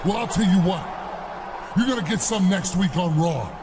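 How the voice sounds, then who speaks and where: A brash wrestler yells taunts across the ring, voiced by a man, in a fairly high voice. He sounds a bit distant, with clear noise under the game audio. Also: moderate pace, natural voice. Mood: taunting. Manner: taunting, shouting. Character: brash male wrestler calling out a rival.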